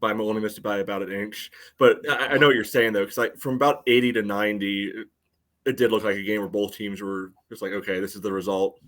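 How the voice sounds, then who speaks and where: A man's voice: fairly low pitch, steady tone, fast talker, fairly bright tone. A man casually analysing a match on a podcast, peppering his talk with "you know". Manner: frequent "you know" filler. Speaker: soccer podcast host.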